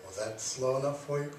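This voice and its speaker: A man hosting a local radio talk show, chatting casually in a joking, teasing, playful way. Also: mid-range voice. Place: radio studio. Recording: echoey room, distant mic, faint noise.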